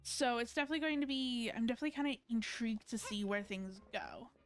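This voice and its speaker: A woman's voice: a little high, fast talker, faint music behind. A woman game streamer giving casual live commentary, rambling as she thinks aloud.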